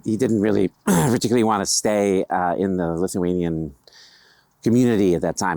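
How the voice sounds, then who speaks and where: A middle-aged American man, a writer, answering interview questions in a measured, hesitant way with many pauses and fillers. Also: low voice, darkish tone, dry recording. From United States.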